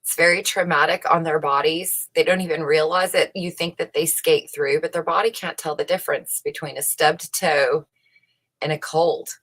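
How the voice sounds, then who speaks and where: Woman w a low bright forceful voice, opinionated casual commentary, asks lots of rhetorical questions. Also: steady tone, moderate pace. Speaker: host reading and commenting on research papers. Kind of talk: casual commentary on read-out research.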